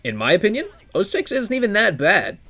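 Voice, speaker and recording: A man's voice: sits high, huge pitch swings, a little fast, sharp tone. A young man giving casual scripted commentary on video games, as the host of a ranking video essay. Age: young adult. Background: music.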